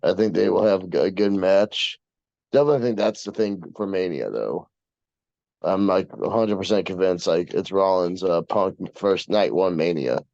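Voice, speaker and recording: A young American man co-hosting a casual podcast in a low, dark voice. He talks in a brash, slangy way and swears freely. The sound is dull and lo-fi. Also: moderate pace, gravelly, dry recording. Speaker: podcast co-host and wrestler. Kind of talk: casual chat.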